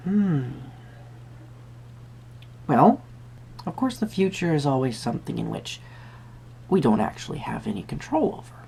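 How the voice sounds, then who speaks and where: Creaky whimsical tarot reader someone acting it out, soft spoken roleplay, hums and ponders, bit of noise behind. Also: mid-range voice, very animated. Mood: musing, gentle. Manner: hesitant, musing, pausing with hums.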